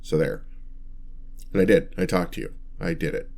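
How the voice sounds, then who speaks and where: A bashful male vampire, acted by a man for an ASMR roleplay, with a low-pitched voice. He stammers and gets flustered, too awkward and kind to be frightening. He speaks straight to the listener in halting phrases. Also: gravelly, darkish tone. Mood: bashful, earnest. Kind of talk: ASMR roleplay, one-sided dialogue to listener.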